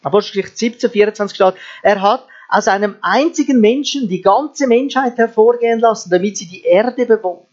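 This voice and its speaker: A man's voice: high-pitched, fairly quick, a little mellow. A man preaching a sermon earnestly, asking his listeners rhetorical questions. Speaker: pastor. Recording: dull lo-fi sound with mains hum.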